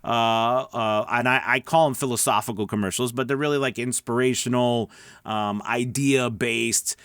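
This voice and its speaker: A man with a medium-pitched voice gives casual instruction as a coach and host. His delivery is conversational and emphatic, with frequent little check-ins to the listener. The sound is dry, with very little room in it. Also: steady tone, moderate pace, a bit bright, smooth, dry recording. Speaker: voice-over coach and video host. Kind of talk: casual instructional talk to followers.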